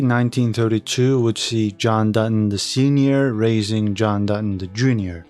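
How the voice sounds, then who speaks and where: Male commentator, fairly deep voice, measured and opinionated scripted read, background music. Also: darkish tone. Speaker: host of a TV news and opinion channel. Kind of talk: scripted commentary on entertainment news. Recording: music playing behind the voice.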